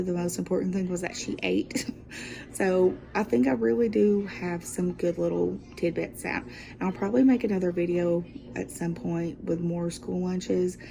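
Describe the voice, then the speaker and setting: A woman's voice: lowish voice, gravelly, warm tone, low-quality recording. A woman talking casually as a guest vlogger, rambling and upbeat with a self-deprecating manner. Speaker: guest vlogger taking over a sister's channel. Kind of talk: casual chatty vlog talk.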